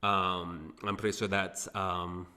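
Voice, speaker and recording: Deep-voiced young man in an echoey room. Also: level tone, sharp tone, clear, resonant tone. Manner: hesitant, many "um" pauses. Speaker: guest. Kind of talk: casual conversational interview. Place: apartment clubhouse. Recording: echoey room with long reverb.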